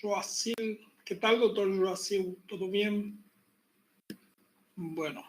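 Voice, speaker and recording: A man teaching an online university class, relaxed and chatty, repeating his points and joking with the students. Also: high voice, very slow, rough recording. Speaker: university pathology lecturer. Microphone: computer mic on a video call.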